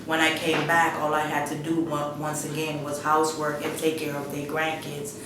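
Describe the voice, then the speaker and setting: Low, even-voiced woman in an echoey room. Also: moderate pace. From Guyana. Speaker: interviewee telling her life story. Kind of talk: personal testimony, unscripted storytelling. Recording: echoey room, distant mic, rumbling noise.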